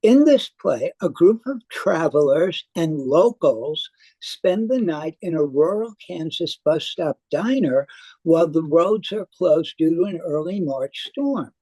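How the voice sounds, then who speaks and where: A woman reads a prepared theater review at a slow, deliberate pace. Her voice is husky and mellow, and the recording is dry and close. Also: sits low, expressive, no echo, low-quality recording. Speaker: theater critic. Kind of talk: scripted review read aloud.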